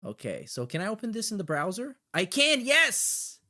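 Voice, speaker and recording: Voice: mid-range voice, sing-song, moderate pace, pushed and bright. A livestream host chatting casually and reacting in a blunt, heavily profane way.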